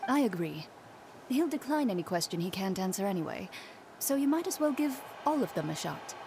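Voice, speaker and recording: A woman's voice: medium pitch, big pitch swings, brisk, background noise. A woman playing a polite, composed, considerate female official in a video game.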